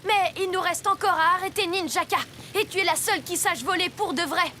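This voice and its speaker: A woman voicing an eager, encouraging six-year-old superhero child in a French cartoon dub, excitable and emphatic. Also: very high-pitched, brisk, noisy background. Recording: music behind the voice.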